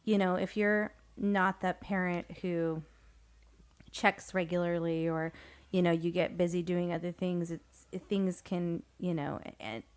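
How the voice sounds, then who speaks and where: A woman in her thirties co-hosts a relaxed podcast. She talks in an easy, chatty way about everyday home life. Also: fairly low pitch, unhurried, clear, resonant tone. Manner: chatty, laughs easily. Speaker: podcast co-host. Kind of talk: casual podcast chat.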